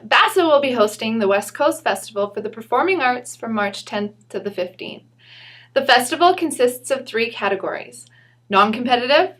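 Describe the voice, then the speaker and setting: A woman from a school's staff reading a scripted announcement in an upbeat, clear way. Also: on the high side, fairly bright tone.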